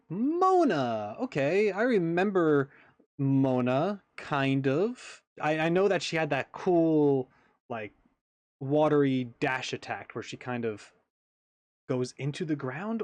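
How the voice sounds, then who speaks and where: A man's voice: a little high, dry recording. A man giving casual, off-the-cuff commentary as the host of a reaction video.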